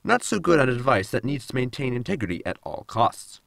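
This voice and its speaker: A man's voice: mid-range voice, very animated, a little fast, gravelly, a bit bright, no echo. A man playing an earnest, pedantic android engineer in a sci-fi sitcom audio drama, formal and lecturing.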